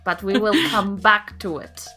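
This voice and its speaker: A woman voicing an indignant old granny in a tabletop game, asking pointed, sarcastic, drawn-out questions. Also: mid-range voice, steady tone, pushed and bright, faint music behind. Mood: grumbling.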